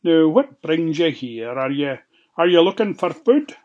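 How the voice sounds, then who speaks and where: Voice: mid-range voice, very animated, a little slow, a little mellow, dull audio. A storyteller of unclear sex voicing a sly, coaxing male wolf from a storybook in a Scottish accent. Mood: wheedling, friendly. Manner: coaxing, exaggeratedly friendly. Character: sly wheedling male wolf, falsely sweet and predatory. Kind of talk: storybook character voice.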